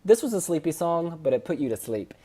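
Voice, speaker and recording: A man hosting a casual review chat, sharing opinions in an expressive way with emphatic phrasing. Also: a little high, fast talker, slightly rough. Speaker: host reviewing song contest entries.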